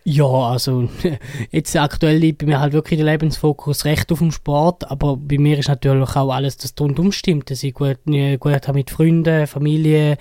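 A man's voice: a little high, dark tone, no echo, studio quality. A twenty-year-old man with an eastern Swiss German accent, talking casually as an interview guest. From Herisau, eastern Switzerland. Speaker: interview guest, para ski racer.

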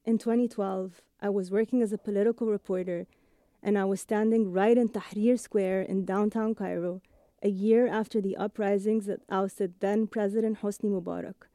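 Woman, 30s, from Egypt, mixed British-American accent with Egyptian and Italian traces; deep mellow timbre, calm reflective delivery of a scripted audio essay. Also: no echo. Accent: blend of British and American English with Egyptian and Italian touches. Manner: measured, reflective narration. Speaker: audio journalist. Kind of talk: scripted first-person radio essay.

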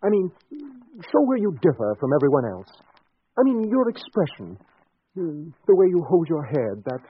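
A married doctor with secret designs in a vintage radio drama. His phrasing is courteous and halting, with a very mobile pitch and a slightly husky tone. The sound is narrow and aged, like an old broadcast. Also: sing-song. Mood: eager, flustered. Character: restless married doctor, outwardly polite, secretly scheming and lovestruck. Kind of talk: 1940s radio drama acting. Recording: muffled 1940s radio recording with music.

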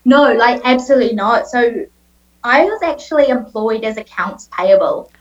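A woman's voice: on the high side, animated, moderate pace. A woman in her late twenties with a New Zealand accent, a guest chatting casually about her career with plenty of ums and restarts. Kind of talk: casual interview answers. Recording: dull lo-fi sound.

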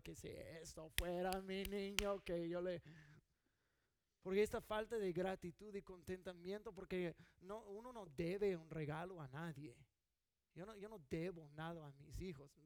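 A man's voice: high-pitched, darkish tone, no echo. A middle-aged man from California preaching a sermon in an earnest, conversational way, often restarting his sentences. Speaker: pastor.

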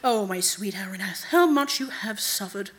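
A woman voicing a cold, manipulative female night god in a deliberate, commanding manner, as in a fantasy audiobook. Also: on the high side, fairly bright tone, natural voice. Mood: stately, coaxing.

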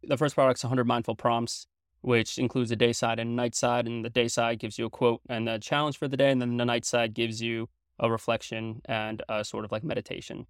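A man's voice: mid-range voice, little pitch movement, fairly quick, smooth, dry recording, clean studio sound. A young man from Belgium, a native French speaker, talking as a guest in a casual interview chat, earnest and explanatory, with a casual manner full of fillers and restarts.

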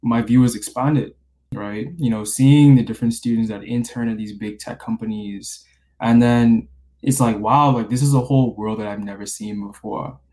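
A college senior in his twenties from the Bahamas, hosting a podcast interview in a relaxed way with lots of fillers. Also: mid-range voice, moderate pace, low-quality recording. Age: 20s. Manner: relaxed, many fillers like you know and like.

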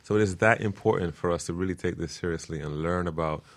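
Male guest expert, low-pitched, informal but instructive, speaks with earnest emphasis. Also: fast talker. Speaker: guest expert on permaculture and food. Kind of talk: informal explanatory interview talk.

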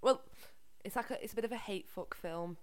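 A woman's voice: medium pitch, fairly quick. A woman from Liverpool co-hosting a casual chat podcast, telling stories and swearing freely. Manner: chatty, swears freely, lively storytelling. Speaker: podcast co-host.

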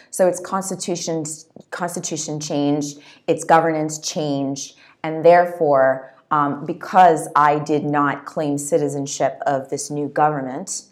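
A low-pitched woman guest on an interview podcast, talking earnestly and reflectively about her own story. She was born in Zambia and speaks in a thoughtful, conversational way, often adding "you know" and "right?". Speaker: author guest.

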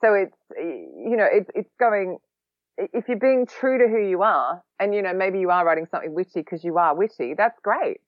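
A woman with an Australian accent, a podcast guest talking casually, quick-witted and a bit sarcastic. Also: fairly low pitch, expressive, no room sound, lo-fi sound. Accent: Australian, long lived in London. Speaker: podcast guest, social recruiting trainer.

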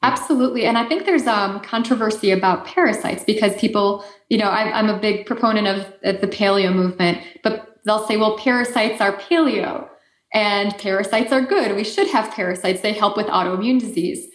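A woman's voice: mid-range voice, brisk, reverberant. A woman with an Eastern European accent, a native Polish speaker, answering interview questions in an explanatory way with frequent fillers and restarts. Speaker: guest expert.

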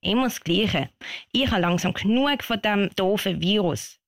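A woman's voice: mid-range voice, expressive, measured pace, no echo, studio quality. A woman playing a bored, grumbling little schoolgirl in a radio play, whiny and childlike, with a Swiss German accent. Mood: sulky.

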